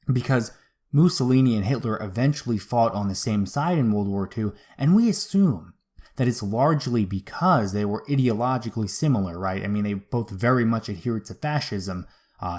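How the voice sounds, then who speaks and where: A man's voice: lowish voice, a little mellow. A man hosting a history show, retelling events in an informal, slangy, conversational way. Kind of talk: casual conversational history storytelling.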